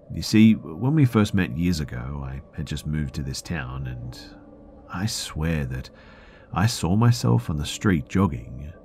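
A man with a deep voice narrating first-person scary stories for a podcast, often dropping to a hush. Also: slight background noise. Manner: hushed, slipping into whispers at tense moments.

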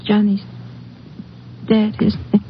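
A woman playing a fragile, grieving young small-town woman in a 1950s radio drama, halting and plaintive. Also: mid-range voice, completely flat delivery, close to an everyday voice. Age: young adult. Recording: muffled, noisy old radio recording.

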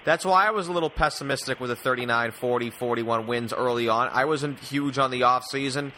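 Bright, forward male sports podcast host. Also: mid-range voice, fairly quick, a little hiss or hum. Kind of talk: conversational sports discussion.

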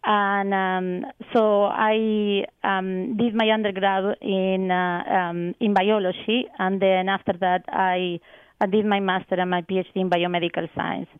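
A woman's voice: medium pitch, level tone, unhurried, clean tone, no echo. A woman from Argentina, a research associate, answering interview questions on a call, hesitant with many fillers and restarts. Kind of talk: radio interview guest. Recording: tinny phone line.

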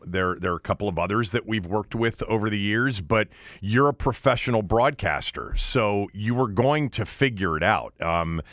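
An American man hosting a casual sports interview, talking in a halting, rambling way that often interrupts itself. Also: lowish voice, a bit bright, no echo, studio quality. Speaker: sports radio and podcast host.

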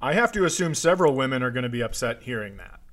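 A man's voice: medium pitch, very animated, quick pace, a bit bright. A man co-hosting a comedy podcast with casual banter and wry riffing.

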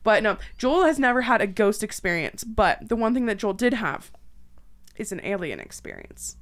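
A Canadian woman co-hosts a podcast with a casual, chatty delivery. Her pitch is average for a woman. She tells anecdotes in an animated way, acting out what people said and the sounds involved. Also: normal speed. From southwestern Ontario, Canada. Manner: animated, acts out quotes and sounds. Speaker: podcast co-host. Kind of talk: casual chat, anecdotal storytelling.

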